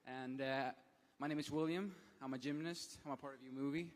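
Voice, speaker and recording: A man's voice: mid-range voice, steady tone, brisk. A man in an event audience who says he is a gymnast, politely and admiringly asking a question from the floor. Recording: reverberant event hall.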